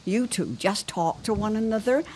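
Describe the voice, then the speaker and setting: A woman's voice: medium pitch, normal speed, a little mellow, slight background noise, lightly acted. An actress playing an arch, genteel, meddling middle-aged mother in a period audio drama. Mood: sweetly scheming. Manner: theatrical, arch. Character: meddling matchmaking mother, archly genteel, feigning innocence.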